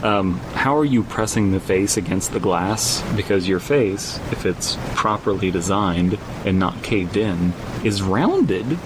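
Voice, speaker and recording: A man's voice: animated, unhurried, hardly any pauses. A man hosting a podcast, casually reading a horror story aloud and commenting on it in a rambling, incredulous way. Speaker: podcast host. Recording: noisy, low rumbling background hum.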